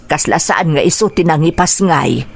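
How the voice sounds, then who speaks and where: Radio drama casting: woman acting caring old Ilocano grandmother, lowish voice, concerned for her family. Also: moderate pace, creaky, slightly rough, exaggerated voice.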